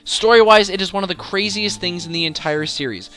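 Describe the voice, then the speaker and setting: A man presents a top-ten video game countdown in a bright, forceful voice that sits a little high. He is emphatic and enthusiastic, building up each pick dramatically. Music plays softly behind him. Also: fairly quick, smooth, low-quality recording.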